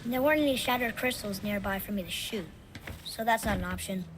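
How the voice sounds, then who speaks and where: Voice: mid-range voice, fast talker, very noisy, natural voice. A performer playing a curious, earnest young boy adventurer who asks many questions in a video game. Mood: puzzled, thoughtful. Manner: inquisitive, questioning. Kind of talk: naturalistic video game acting.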